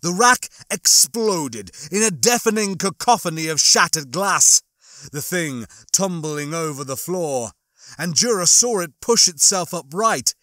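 A man reading a horror novel as an audiobook narrator, in a dramatic, emphatic style with drawn-out phrases. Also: a little high, bright, forceful tone, dry recording, clean studio sound. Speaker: audiobook narrator and channel host.

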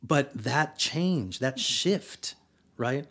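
An enthusiastic man in his late thirties from El Paso speaks as an interview guest. He has a mid-pitched voice and talks in loose, energetic runs, tagging nearly every thought with "right?". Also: drawn-out words, slightly rough. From El Paso, Texas, US. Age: 30s. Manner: energetic, filler-heavy, keeps adding "right?". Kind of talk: casual interview conversation.

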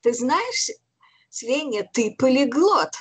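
An encouraging woman chats on a video call, gently repeating and correcting words. Her slightly husky voice swings up and down with lively expression and a slow, patient pace. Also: sits high. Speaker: conversation partner. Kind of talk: casual chat.

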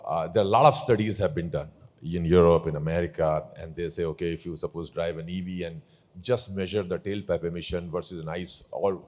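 A man in his fifties speaks from a conference stage in a very low voice. He explains things at length, circling back with fillers, holding a handheld microphone. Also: a little mellow. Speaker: panelist, carmaker executive. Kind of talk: conference panel answer. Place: large conference hall stage.

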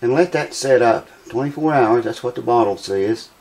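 A man, hoarse and losing his voice, chatting casually to camera in a small home workshop. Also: medium pitch, moderate pace, a little mellow, poor audio. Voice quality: raspy. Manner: rambling, folksy, swears casually. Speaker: guitar repair vlogger. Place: small cluttered home workshop with mounted animal heads, indoors.

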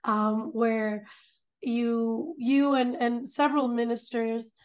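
A woman's voice: on the high side, little pitch movement, takes it slowly. A woman in her thirties hosting an interview over a video call, speaking in an upbeat, enthusiastic way. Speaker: host. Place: home room.

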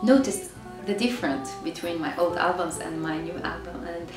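A woman from Syria in her thirties, speaking English in a hesitant, reflective way, pausing and repeating words as she searches for them. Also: lowish voice. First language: Arabic. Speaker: singer. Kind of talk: reflective interview answers. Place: bright living room, seated on a sofa. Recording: roomy, distant, music behind.

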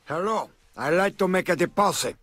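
An actor playing a brash, menacing Cuban gangster boss in a video game, with a cocky, profane swagger. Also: male voice, high voice, lively intonation, normal speed, fairly bright tone. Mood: sly, needling. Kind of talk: video game cutscene acting.

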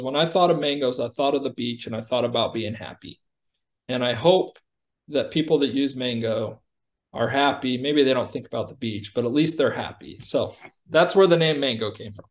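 A man giving a conversational product demo as a company executive, explaining things informally. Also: medium pitch.

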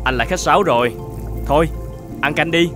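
An actor playing a kind, humble older shepherd in an animated folk tale, speaking politely and gently. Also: male voice, on the high side, fairly quick, over music, natural voice. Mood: kind, gentle. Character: kind, humble older shepherd, hospitable, fearful under threat.

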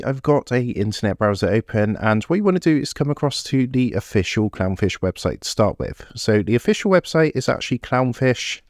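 A man's voice: mid-range voice, dry recording. Guy doing a screen tutorial, mid voice, dead dry desk mic, keeps saying what you wanna do. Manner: steady step-by-step instructions, repeats "what you wanna do". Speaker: tech tutorial presenter. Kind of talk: screen-recorded software tutorial. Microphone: desktop USB microphone.

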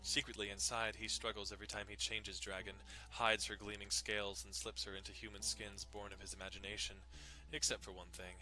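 A man's voice: lowish voice, flat delivery, fairly quick, few pauses, pushed and bright, poor audio. A man giving a dramatic scripted narration of a visual novel. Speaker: narrator doing dramatic readings.

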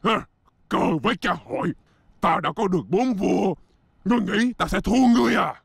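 Very gravelly, boastful monster villain. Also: male voice, high-pitched, brisk, dry recording, clean studio sound, full character voice. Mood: defiant. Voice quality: gravelly, creaky. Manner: blustering, theatrical, indignant. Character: boastful, cheating male dragon-like monster villain. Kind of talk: animated cartoon dub. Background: background music.

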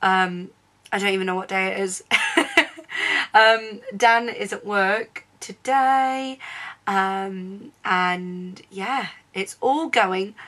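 Young female vlogger, bright voice, chatty, hoarse from a cold in later clips. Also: mid-range voice. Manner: chatty, lots of "um" fillers. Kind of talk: casual vlog chat. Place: bedroom at home.

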